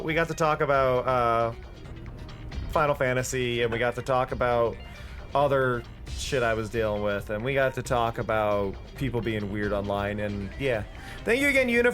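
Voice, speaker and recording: A streamer with a tired voice, chattily explaining game strategy with many fillers. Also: male voice, mid-range voice, lively intonation, moderate pace, fairly bright tone. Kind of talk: casual gameplay commentary. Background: game music.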